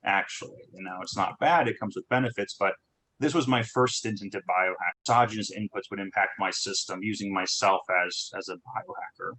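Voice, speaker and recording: A man with a low, bright and forceful voice tells his story to a group. He talks in a halting way, often repeating words and restarting his sentences. He grew up in Southern California. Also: low-quality recording. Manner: halting, many restarts and fillers. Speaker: startup founder. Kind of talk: conversational talk on a group call.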